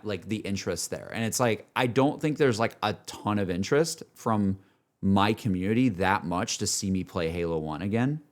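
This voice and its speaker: A male game streamer chats off the cuff in a middle-pitched voice. He rambles candidly, working through his thoughts aloud as he addresses his viewers in loose, everyday speech. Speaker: game streamer talking to chat. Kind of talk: casual livestream chat, unscripted.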